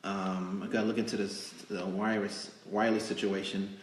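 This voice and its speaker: Man, lowish pitch, echoey room, live stream host, casual, chatty, halting, rambling. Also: flat delivery, a little hiss or hum. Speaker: magazine editor hosting a live interview show. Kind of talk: casual improvised livestream hosting. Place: indoors in a cake studio. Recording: roomy, echoing live stream indoors.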